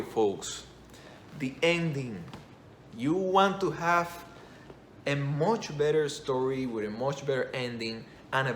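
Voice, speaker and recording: A man, not a native English speaker, rambles through a casual game review, restarting and correcting himself as he goes. His voice sits at a middle pitch and carries an echo from the room. A faint hum of ambience runs behind him. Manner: rambling, self-correcting, enthusiastic. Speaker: game commentator.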